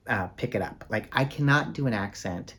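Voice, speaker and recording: A man with a fairly low voice talks casually to the camera in a book vlog. He rambles with plenty of ums and uhs, poking fun at himself. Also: moderate pace, pushed and bright, slightly rough. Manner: rambling, self-deprecating, many fillers. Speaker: book vlogger.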